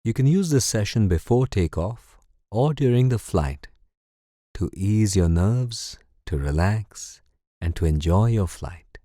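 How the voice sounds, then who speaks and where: A man leading a guided meditation in a calm, soothing, measured way. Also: fairly low pitch, no echo, studio quality. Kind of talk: slow guided meditation narration.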